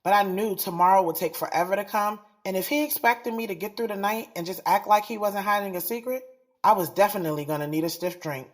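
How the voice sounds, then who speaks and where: A woman's voice: medium pitch, fast talker, lightly acted. An actress playing a guarded, skeptical young woman poet in an audio drama, speaking in a candid, conversational, street-smart way. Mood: reflective, candid. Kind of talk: audio drama dialogue and first-person narration. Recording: music playing behind the voice.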